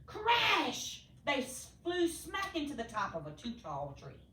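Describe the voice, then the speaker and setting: A woman reading a children's storybook aloud expressively, with theatrical, deliberate emphasis for young listeners. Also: high voice, huge pitch swings, pushed and bright. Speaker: storybook reader. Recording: echoey room, distant mic, faint noise.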